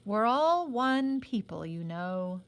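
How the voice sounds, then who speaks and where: A woman voicing an older male British army medical officer from a storybook, civil, serious and measured as he reasons with people. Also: mid-range voice, big pitch swings. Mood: earnest, conciliatory. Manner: measured, earnest, conciliatory.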